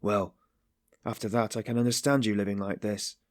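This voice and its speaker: A man's voice: fairly even intonation, moderate pace, no echo, clean studio sound, natural voice. A man voicing a brooding, bitter man who asks terse, probing questions in an audiobook story. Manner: terse, low-key, pointed questions.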